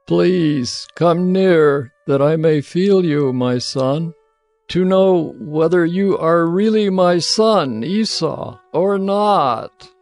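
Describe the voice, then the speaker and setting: An aged, frail patriarch in an audio Bible drama. He speaks very slowly and solemnly, in a somewhat high male voice. Music runs underneath. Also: darkish tone, no echo. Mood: doubtful, searching. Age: older. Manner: slow, deliberate, solemn delivery. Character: frail old father, solemn patriarch near death. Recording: music bed behind the voice.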